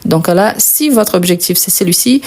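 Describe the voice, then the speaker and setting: A young woman from Brazil, a native Portuguese speaker, teaching French grammar as a teacher and slowly repeating example words for her learners. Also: lowish voice, animated, darkish tone.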